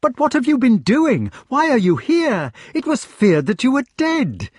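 A man voicing an excited, affectionate storybook knight, gushing and exclaiming as he speaks. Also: very high voice, unhurried, slightly rough, no room sound, studio quality, pitched above the performer's own voice, heavily acted. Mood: overjoyed. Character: excited, affectionate knight searching for his lost friend. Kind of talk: storybook character voice.

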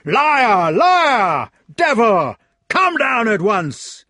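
A man voicing a fat, blustering male knight who shouts slowly and heavily in furious accusation. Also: on the high side, wildly swooping pitch, no room sound, studio quality, voice pushed up, full character voice. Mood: furious shouting. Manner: shouting, slow, heavy.

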